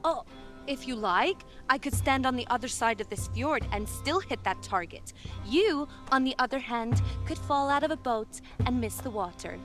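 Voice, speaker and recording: A woman's voice: high voice, expressive, normal speed, a bit bright, soft background music. A woman playing a young, fearless Norse warrior sister, teasing and cocky, in a video game cutscene. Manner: taunting, playful.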